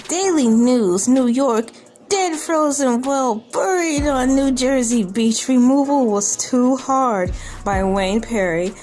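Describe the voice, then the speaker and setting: A woman's voice: high voice, slow pace, a little mellow, soft background music. A playful, easily amused woman hosting an online video, reading a news article aloud and commenting on it casually. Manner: playful, giggly, easily amused by what she reads.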